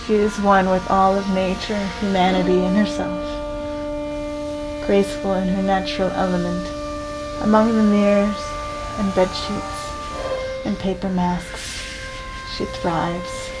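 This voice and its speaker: Woman narrating like a nature doc, poetic and deadpan, very flat voice, loud music underneath. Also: medium pitch, a little slow, rough recording. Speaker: mock documentary narrator.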